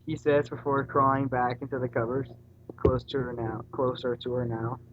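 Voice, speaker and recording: A man's voice: medium pitch, flat delivery, moderate pace. A man casually reading a story aloud and voicing its dialogue. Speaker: reader in a group fanfiction reading. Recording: dull, faintly noisy home recording.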